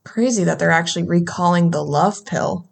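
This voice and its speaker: An actress playing a wry, jaded government enforcer in an audio drama, sardonic and casual. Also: female voice, lowish voice, moderate pace. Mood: weary, wry. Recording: clear background noise, ambience.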